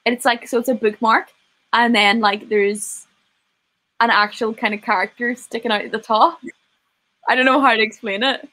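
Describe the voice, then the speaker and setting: A woman's voice: a little high, steady tone, moderate pace, bright, forceful tone, poor audio. A young woman, chatting casually and rambling with lots of likes. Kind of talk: casual chat on a live stream.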